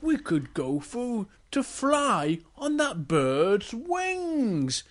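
An adult storyteller voicing a polite, cautious big bear in a drawn-out, earnest manner. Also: mid-range voice, sing-song, pitched above the performer's own voice.

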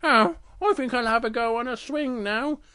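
A storyteller voicing a small, eager and stubborn young male bear cub, excitable and pleading, in a children's story. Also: mid-range voice, normal speed, smooth, no room sound, pitched above the performer's own voice, close to an everyday voice. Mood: excited.

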